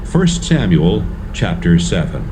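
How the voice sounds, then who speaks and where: A man giving measured, storytelling narration for a dramatised audio Bible. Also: low voice, huge pitch swings, background noise.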